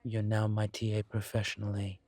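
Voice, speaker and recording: A man voicing a suave, teasing incubus professor in an intimate audio roleplay, courteous and measured, with deliberate pauses. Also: fairly low pitch, monotone, darkish tone, no echo, natural voice. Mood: measured, candid. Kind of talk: intimate one-sided audio roleplay acting.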